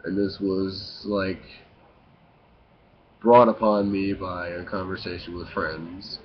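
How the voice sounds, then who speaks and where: Slow, low-voiced young man vlogging. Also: flat delivery. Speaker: video blogger. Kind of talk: casual confessional monologue to camera. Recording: muffled lo-fi with faint hiss.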